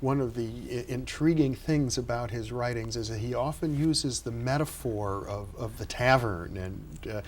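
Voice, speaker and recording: A man hosts a television interview in a studio, speaking in a calm, measured way. A faint hiss sits under his voice. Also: mid-range voice. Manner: calm, measured, frequent affirming murmurs. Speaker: host, psychologist.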